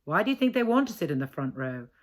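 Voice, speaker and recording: A woman's voice: fairly low pitch, big pitch swings, fast. A woman voicing a mild, placating husband in a novel reading, easygoing and reasonable. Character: mild, agreeable, placating husband of a snobbish wife.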